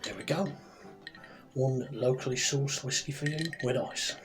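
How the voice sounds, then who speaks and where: A man playing a friendly, easygoing tavern barkeep in a soft-spoken, chatty fantasy ASMR roleplay. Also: medium pitch, steady tone, unhurried, lightly acted. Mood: welcoming, easygoing. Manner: informal, chatty, reassuring. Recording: soft background music and tavern ambience. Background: music, tavern ambience.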